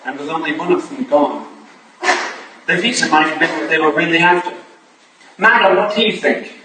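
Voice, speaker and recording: An affable Victorian gentleman played by a man talks in a genteel, slightly flustered way, given to exclamation. The period stage reading is recorded from far off, with heavy echo and clear noise. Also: on the high side, normal speed, natural voice. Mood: puzzled, reasoning. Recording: distant echoey room with clear background noise.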